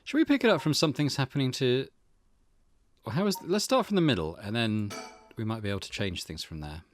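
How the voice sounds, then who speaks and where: A man's voice: medium pitch, expressive, a little fast, a little hiss or hum. A man hosting a gaming video, thinking aloud in casual let's-play commentary.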